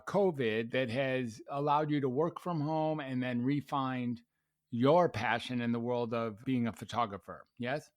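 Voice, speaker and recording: A man hosting a podcast, chatting casually and affirming his guest with frequent "mm-hmm"s. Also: mid-range voice. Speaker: podcast host and psychiatrist. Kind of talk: casual interview chat.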